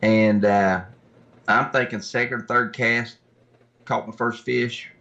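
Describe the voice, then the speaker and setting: A man's voice: medium pitch, steady tone, takes it slowly, bright, forceful tone. A man chatting casually as an interview guest in a plainspoken way, on dull lo-fi audio. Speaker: podcast guest, tournament kayak angler.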